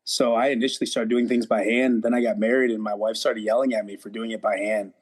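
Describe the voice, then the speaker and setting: A man's voice: on the low side, level tone, fast, slightly rough. A married man from Ohio, a podcast guest, telling a folksy, rambling story on a video call. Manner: rambling, folksy, lots of "you know". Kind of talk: casual chat.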